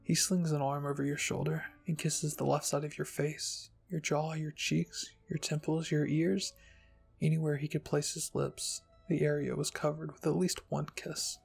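A man with a slightly high, creaky voice reads a story in a soft ASMR style. His delivery is even and husky, with light music behind him. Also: normal speed. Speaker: narrator and channel host. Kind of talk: ASMR fan fiction reading.

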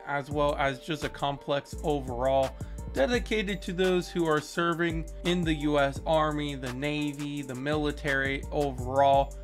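A man's voice: fairly high pitch, fairly bright tone. A young man in his twenties giving casual, upbeat commentary as he tours custom stadiums in a video game, recorded at a desk. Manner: upbeat, enthusiastic, praising. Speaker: gaming video creator. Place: small indoor room, webcam corner.

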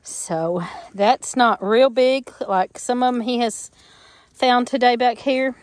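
A woman's voice: a little high, slow, a little mellow, no echo. A middle-aged woman, chatting folksily while walking the woods of her farm, filming as she goes. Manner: chatty, folksy, laughs easily, many fillers. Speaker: homestead vlogger. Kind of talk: casual walk-and-talk narration. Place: outdoors in the woods behind a farmhouse. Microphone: handheld camera while walking.

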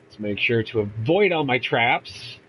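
A man's voice: mid-range voice, lively intonation, measured pace, bright, forceful tone, husky. A young man with a congested voice from a cold, chatting casually and rambling through a let's play from a room at home. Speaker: gaming YouTuber.

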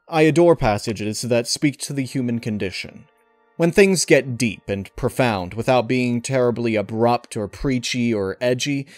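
Male interviewee, mid-pitched, dry close sound, formal and deliberate phrasing, articulate. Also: a little mellow, no echo. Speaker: channel host and audio story reader being interviewed. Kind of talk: thoughtful interview answers.

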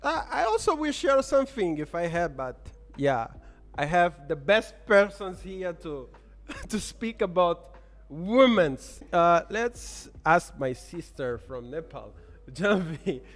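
A man's voice: sits high, sing-song, slow pace, big-room sound. A young man hosting a casual podcast in a café, laughing often as he talks into a studio mic. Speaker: podcast host. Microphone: studio mic on boom arm.

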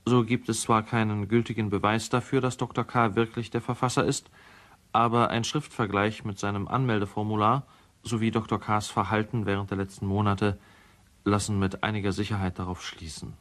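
An actor playing a careful lawyer who gives a formal, factual statement in a radio play. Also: male voice, lowish voice, flat delivery, brisk, a bit bright, close to an everyday voice. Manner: formal, dry, precise.

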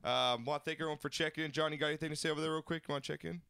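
A man in his thirties hosting a sports talk show in a small home studio, loud and excitable, a bit stuffed up from a cold. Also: fairly high pitch, racing, creaky, a crowd in the background. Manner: loud, excitable, stretches words. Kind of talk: loud casual sports radio banter. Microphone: studio mic on boom arm, headphones.

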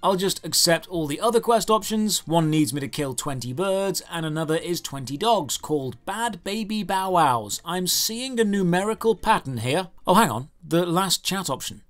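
Animated, sarcastic man narrating a game review. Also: high voice, normal speed, few pauses. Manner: sarcastic, exasperated. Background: video game music.

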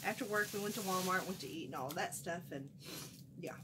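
A middle-aged woman with a twang, slightly nasal from a cold, rambles happily about her bargains and loses her train of thought. She sounds like she is talking to a camera in a small room at home. A steady background hiss runs under her voice. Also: fairly low pitch, brisk. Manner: folksy, animated, chatty, wanders off mid-sentence. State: has a cold, a little nasal. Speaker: haul vlogger. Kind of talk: casual chatty haul vlog.

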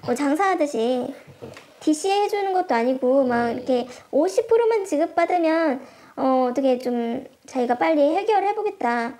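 A woman's voice: high voice, animated, normal speed, mellow. A woman talking as an aggrieved interviewee, recorded indoors. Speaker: interviewee, insurance customer.

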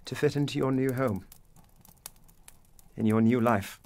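An actor playing an anguished, guilt-ridden, stern father in a period audio drama, speaking gravely and deliberately. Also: medium pitch, a little mellow, lightly acted. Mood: stern, insistent.

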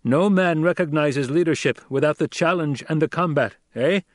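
A man voicing a young male desert leader who speaks forcefully and questions his listeners rhetorically, in an audiobook. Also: a little high, moderate pace, no room sound, studio quality, voice pushed up, lightly acted. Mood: commanding. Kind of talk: audiobook character voice.

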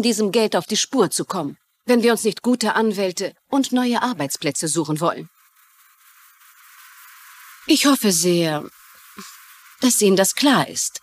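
A woman as a brisk, assertive banker. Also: mid-range voice, lightly acted. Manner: assertive, curt. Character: brisk, businesslike female banker under pressure. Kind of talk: German-dubbed television crime drama.

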